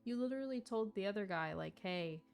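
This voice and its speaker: Girl streamer reacting to a game, slangy casual talk, normal pitch, bit of music behind. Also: lively intonation, a little fast, vocal fry, clear, resonant tone. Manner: slangy, opinionated. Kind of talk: casual live gameplay commentary.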